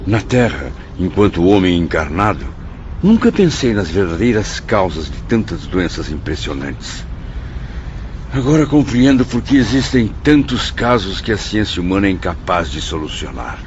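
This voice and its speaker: A reflective, astonished newcomer to the spirit world in a Brazilian radio play. His fairly low voice swings widely, theatrical and searching. The audio is slightly muffled. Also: sing-song, a little mellow. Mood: astonished, pondering. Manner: theatrical, pondering, halting. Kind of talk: Brazilian radio drama acting. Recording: dull lo-fi audio, faint noise.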